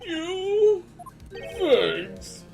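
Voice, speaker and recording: Frightened, whimpering accused actor performed for a game fan dub, high-pitched and slow. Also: huge pitch swings, gravelly, a little mellow, faint music behind, full character voice. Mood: tearful, frightened. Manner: whimpering, hesitant. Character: timid, weepy accused action-show actor.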